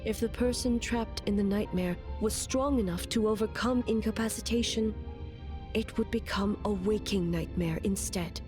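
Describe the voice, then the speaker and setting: A woman voicing a stoic warrior ruler and deity in an anime video game, speaking in a formal, measured, grave way. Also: mid-range voice, music in the background, close to an everyday voice. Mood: grave, resolute.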